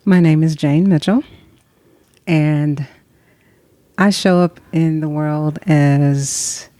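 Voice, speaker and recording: Middle-aged woman guest, low even voice, thoughtful and unhurried, dry close sound. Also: no echo. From Tennessee, US. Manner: reflective, soft-spoken with pauses. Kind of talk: conversational interview. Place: recording session in Atlanta.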